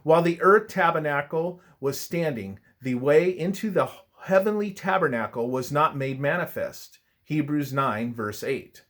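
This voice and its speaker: A man reading a scripted religious text aloud in a solemn, deliberate manner, letting his phrases fall at the ends. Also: on the high side, animated, a bit bright.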